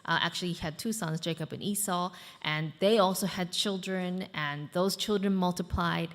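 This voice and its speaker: A woman's voice: on the low side, fairly even intonation, normal speed, in a large hall. A woman in her thirties, from the United States, preaching a sermon as pastor in a conversational way, with fillers and asides to the congregation. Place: church hall.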